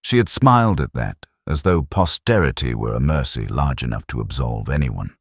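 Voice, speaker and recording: Man acting as world-weary male detective, first-person drama narration, extremely low pitch, wistful, measured, dry close sound. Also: lively intonation, breathy edge, no echo, full character voice. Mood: brooding, reflective. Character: melancholy, reflective middle-aged male detective narrating his case.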